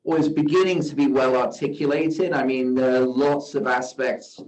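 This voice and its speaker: A middle-aged man from South Africa, a guest investor giving measured, reflective interview answers and often saying "you know", at a live event. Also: medium pitch, fairly even intonation, a little mellow. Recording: roomy, distant, dull sound.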